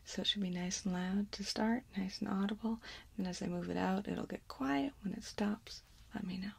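A woman performs an ASMR role play as a gentle examiner. Her voice is extremely breathy and often drops to a whisper, with noticeable creak at the edges. She gives slow, careful instructions close to the microphone. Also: medium pitch.

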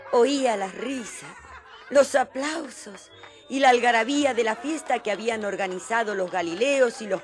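An actress playing a tender mother who narrates her memories in a radio drama, with music and a crowd behind her. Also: a little high. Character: tender young mother recalling her baby's birth night. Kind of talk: scripted first-person narration in radio drama.

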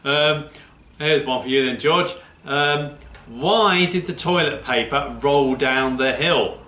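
A man's voice: on the high side, takes it slowly, pushed and bright. A man in his fifties, cheerily and jokily presenting to children in a chatty way. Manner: cheery, jokey. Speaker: children's church programme presenter. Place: indoors in front of a plain backdrop. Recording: roomy echo.

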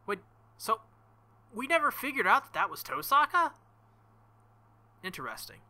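A young man commenting casually on a visual novel, with joking, crude, excitable asides. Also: extremely high pitch, normal speed. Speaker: let's-play host.

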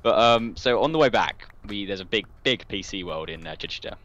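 A man's voice: fairly low pitch, animated, a little fast, fairly bright tone, dull audio. A young man with a southern English accent, chatting in a rambling, digressive way as he tells a casual story. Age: young adult. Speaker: guest on a gaming video.

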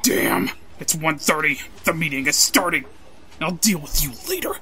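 A man's voice: on the high side, moderate pace, crowd noise, natural voice. A man playing a suspicious, exasperated reporter in a comic-book dub, curt and indignant in manner. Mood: flustered, annoyed. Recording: noisy recording with clicks.

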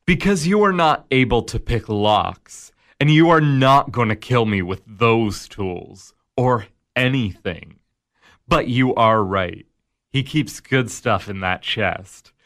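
An actor playing the Devil in a radio drama, a measured, mocking tempter who narrates and coaxes. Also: male voice, fairly low pitch, expressive, a bit bright, full character voice. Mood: coaxing. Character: the Devil, smooth, amused male tempter.

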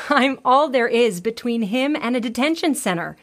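A stern, exasperated daughter in a comedy podcast. Her voice sits a little high. She speaks curtly, with dry, scolding impatience. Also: animated, unhurried, a bit bright. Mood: suspicious, stern. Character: exasperated, stern adult daughter, a child therapist. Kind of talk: audio comedy acting.

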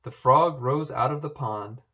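A man reading a children's storybook aloud, with expressive narration. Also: medium pitch, a little slow. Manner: expressive, animated. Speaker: storybook reader. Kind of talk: children's book read-aloud.

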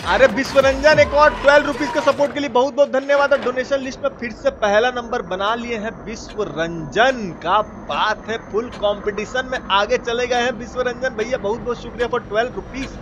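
A man's voice: very high-pitched, brisk, few pauses, rough recording. A man with a Bihar and Purvanchal Hindi accent giving casual, playful live gaming commentary, full of colloquial exclamations and repeated phrases. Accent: eastern Hindi belt (Bihar and Purvanchal). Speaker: live game streamer. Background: video game music.

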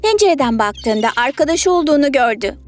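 A woman's voice: high-pitched, sing-song, brisk, soft background music. A woman storyteller narrating a children's story in a scripted read.

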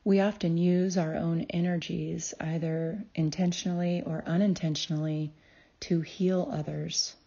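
A woman leads a guided meditation at a very slow pace, leaving long pauses between phrases. Her voice is soft-edged and mellow, sitting a little low, with a calm and soothing delivery. The recording is slightly dull. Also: creaky. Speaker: guided meditation host and healer.

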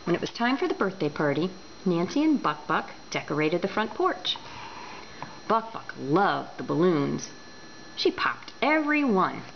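A woman reading a children's book aloud indoors, expressive and deliberate. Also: fairly low pitch, creaky. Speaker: storyteller. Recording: dull home recording with background noise.

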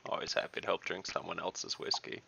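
A man's voice: low voice, completely flat delivery, fairly quick, vocal fry, sharp tone, breathy edge, no room sound. A man playing a tabletop game, making casual, wry in-character banter. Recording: dull lo-fi call audio.

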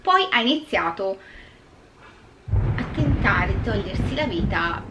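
A woman's voice: medium pitch, animated, unhurried, heavy background noise. A young woman, retelling a true crime story in a casual vlog, breaking off often for sarcastic, exasperated remarks. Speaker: YouTube true crime host. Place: small indoor room with purple lighting.

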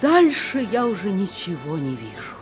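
A woman's voice: mid-range voice, huge pitch swings, normal speed, darkish tone, faint music behind. An actress playing a wide-eyed heroine narrating her childhood in a radio play. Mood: suspenseful.

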